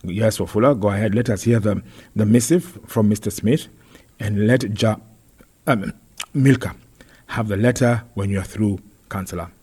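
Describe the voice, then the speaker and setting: Male narrator as dignified mayor, Kenyan accent, careful enunciation, husky, pompous, storybook. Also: fairly low pitch, moderate pace, dark tone. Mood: stately. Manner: enunciates every word, grandly condescending. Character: pompous, dignified city mayor presiding over council.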